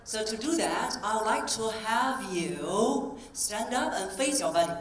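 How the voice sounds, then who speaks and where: A woman voice coach giving an energetic keynote talk, coaxing the audience through exercises on stage. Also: a little high, a little slow. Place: large conference hall on stage. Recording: roomy hall, distant mic, faint ambience.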